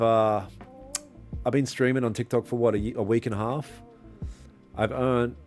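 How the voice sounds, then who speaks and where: Brief: young Aussie man, lowish voice, easygoing streamer who calls everyone bro, faint lo-fi music underneath. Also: darkish tone. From Australia. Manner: slangy and laid-back, calls viewers bro and brother. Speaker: livestreaming trader. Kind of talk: casual live-stream chat, reading and answering viewer comments.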